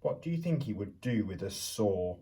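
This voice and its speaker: A man reading a picture book aloud to young children as a teacher would, theatrically, pausing to put questions to them. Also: fairly low pitch, expressive, mellow, far from the mic. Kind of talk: expressive children's storybook reading with questions to listeners.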